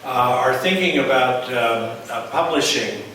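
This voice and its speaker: A man's voice: medium pitch, expressive, unhurried, sharp tone, slight background noise. Fluent, wry male editor at a panel, heard from a distant mic in a reverberant hall. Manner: wry, self-deprecating, fluent. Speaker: journal editor, former professor. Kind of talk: conference panel remarks. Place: conference room.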